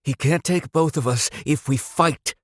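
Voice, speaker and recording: A man's voice: fairly high pitch, a little slow, dry recording, clean studio sound, voice pushed up. A man voicing a brave, feisty young girl in a storybook tale, speaking boldly and urgently. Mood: urgent, defiant. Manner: bold, loud, urgent.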